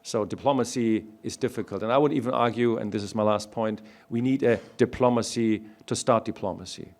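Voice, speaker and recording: A man from Germany, speaking as a panelist in a public discussion and making his points one by one in a measured way. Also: lowish voice, a little mellow.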